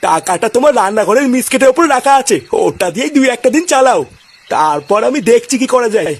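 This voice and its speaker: A man voicing a crabby, vain old grandfather in a comedy cartoon, husky and animated, given to cursing grumbles. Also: very high voice, fast, full character voice. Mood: doting, reassuring.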